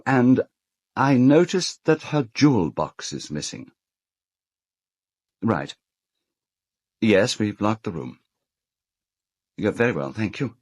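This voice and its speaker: An actor playing a fussy, courteous middle-aged English gentleman in a radio drama, speaking formally and hesitantly. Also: on the low side, exaggerated voice. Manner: hesitant, formal, well-spoken. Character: fussy, courteous gentleman host of an island castle. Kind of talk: British radio drama acting.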